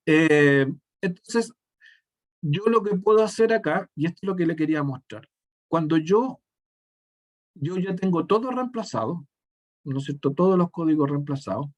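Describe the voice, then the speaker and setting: Male instructor, slightly high voice, talks through a screen demo step by step, halting and restarting phrases. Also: animated. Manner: step-by-step explaining, frequent self-corrections and restarts. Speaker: teacher. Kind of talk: screen-share software tutorial.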